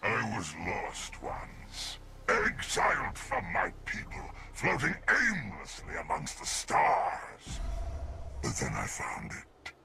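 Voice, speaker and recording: An actor playing a pompous, decadent alien emperor in a video game, with a gravelly, booming, theatrical voice that slides down to whispers as he savors his words. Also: huge pitch swings, takes it slowly, over loud music, exaggerated voice. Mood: grandiose. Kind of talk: video game cutscene dialogue.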